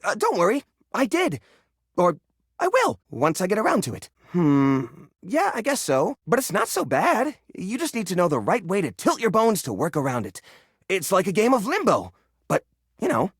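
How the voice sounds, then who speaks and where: A man voicing an eccentric, cheerful hoarder in a video game, theatrical and excitable, rambling like a proud tour guide. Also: high voice, moderate pace, breathy edge, dry recording, clean studio sound, exaggerated voice. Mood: sheepish, rambling. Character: eccentric, cheerful male hoarder personifying a junk drawer. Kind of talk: animated video game voice acting.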